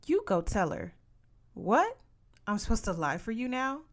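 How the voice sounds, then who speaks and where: Storybook mother, played by a female narrator: firm, coaxing, commanding, quick to tease. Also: fairly high pitch, sing-song, pitched above the performer's own voice. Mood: matter-of-fact, then incredulous. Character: firm, no-nonsense Mexican American mother, teasing.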